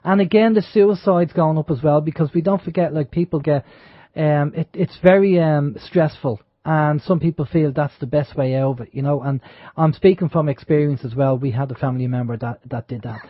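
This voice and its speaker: Irish guy hosting internet radio, kinda high voice, rambly with lots of ums, dull lo-fi sound. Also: fairly quick, dry recording. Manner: rambling, many fillers and restarts. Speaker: radio show host. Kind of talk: casual talk radio. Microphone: studio microphone.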